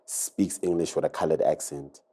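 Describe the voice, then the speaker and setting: A man's voice: very deep voice, fairly even intonation, moderate pace, warm tone. A man, a recording artist, reflecting in a rambling casual chat full of fillers. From South Africa.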